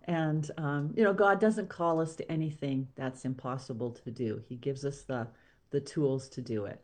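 A woman's voice: a bass voice, moderate pace, darkish tone. A woman guest on a religious talk show, speaking informally and emphatically about faith. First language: not Latin or Spanish; English.

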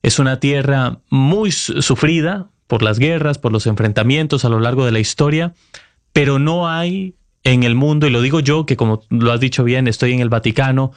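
A man's voice: medium pitch, moderate pace. Earnest Costa Rican man in his thirties. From Costa Rica. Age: 30s. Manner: thoughtful, earnest, explanatory. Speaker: Vatican correspondent, guest. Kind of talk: reflective interview answers.

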